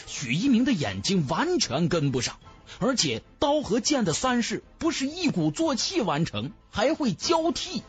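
A man's voice: high-pitched, breathy edge. A man narrating a fantasy novel in a theatrical, emphatic style, as a scripted audiobook.

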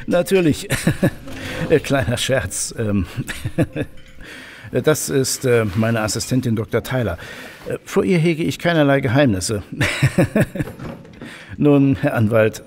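An actor playing a genial, chatty professor of technical history in an audio drama, with a hesitant, jovial and bookish manner. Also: male voice, mid-range voice, lively intonation, slow, long pauses, darkish tone, slight background noise. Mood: amused, jovial.

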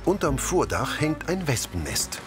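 A man's voice: a little high, very animated, a little slow, loud music behind the voice. A man reading scripted audio description narration for a TV crime comedy.

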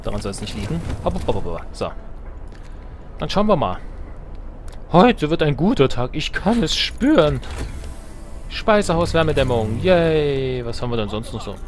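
A man's voice: a little high, very animated, normal speed, long pauses. A man hosting a let's play, commenting casually on the game with self-ironic, playful asides and reading game text aloud. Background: game music.

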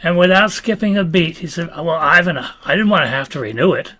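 A man in his late seventies tells a brief funny story over a video call from his home. His voice is a touch high, and he stretches out key phrases to build the punchline. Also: animated, bright, forceful tone. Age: 70s. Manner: storyteller, slows for effect. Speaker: club member telling a story. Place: home room.

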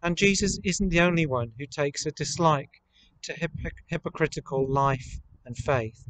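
Priest preaching outdoors, slightly high voice. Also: male voice, normal speed, fairly bright tone, poor audio. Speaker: priest leading online worship. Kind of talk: reflective sermon to camera. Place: outdoors in a rural churchyard. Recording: outdoor camera recording with ambient noise.

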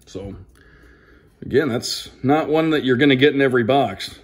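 A man's voice: mid-range voice, moderate pace. A man giving casual, upbeat commentary on an unboxing, reading out names to viewers like a broadcaster. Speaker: radio sports play-by-play broadcaster.

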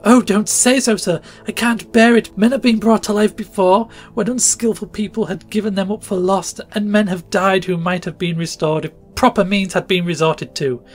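A man's voice: high voice, takes it slowly, gravelly, hardly any pauses, darkish tone, faint music behind, voice pushed up, heavily acted. A man voicing a desperate, grief-stricken woman of about fifty who pleads in near frenzy. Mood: frantic pleading.

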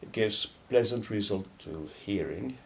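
A man in his fifties giving a measured, halting explanatory product talk to camera, pausing between phrases. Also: lively intonation, vocal fry. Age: 50s. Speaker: loudspeaker company presenter. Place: dark indoor studio or demo room, seated. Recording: low rumbling background noise.

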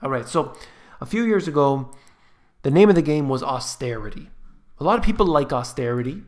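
A man's voice: mid-range voice, lively intonation, brisk, a little mellow. A man giving emphatic, scornful commentary on finance charts in a screen-share voice-over. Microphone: voice-over on screen recording.

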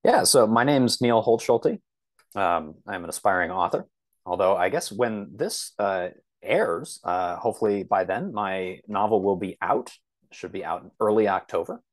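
A man's voice: lowish voice, expressive, normal speed. A man giving conversational interview answers as a podcast guest, explaining things in a thoughtful, hesitant way. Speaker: podcast guest, author and computer science instructor. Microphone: video call.

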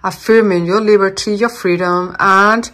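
A woman reads tarot cards at a table indoors, speaking off-camera. She talks warmly and reassuringly, in a mid-range voice, and pauses to search for English words. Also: mid-range voice, steady tone. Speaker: tarot reader. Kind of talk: channeled tarot reading.

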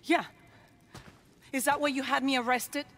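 A woman's voice: sits high, fairly even intonation, a little slow, fairly bright tone, a little hiss or hum. An actress playing a steadfast, defiant young queen in a video game cutscene, speaking in a grave, resolute way. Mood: reproachful.

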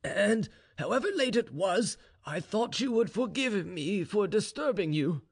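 A stern aristocratic general and father, acted out by a male storyteller, pitched higher and full of swings. He speaks formally and gravely, with suspicion under every clipped question, as in an old Russian tale. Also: animated, measured pace, exaggerated voice. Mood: grave, guarded.

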